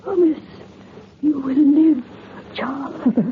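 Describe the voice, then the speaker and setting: Noble, unbending mother, highish voice, measured and imploring, radio melodrama, muffled old recording. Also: a little hiss or hum. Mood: dying plea. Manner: solemn, imploring, archaic theatrical speech. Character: stern, self-sacrificing aristocrat mother, resolute and noble. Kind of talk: old-time radio horror drama acting.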